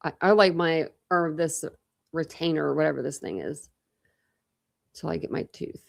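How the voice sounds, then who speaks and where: A woman in her forties chats loosely with her livestream audience, restarting her sentences as she thinks aloud. Her voice sits a little low and carries a gravelly edge. A slight lisp from a dental retainer softens some of her words. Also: normal speed. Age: 40s. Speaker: true crime livestream host. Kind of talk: casual livestream chat with viewers.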